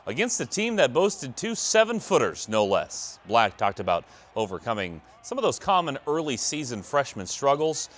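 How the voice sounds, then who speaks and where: Lively American male sports anchor. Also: mid-range voice, moderate pace, hardly any pauses. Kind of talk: scripted sports news narration.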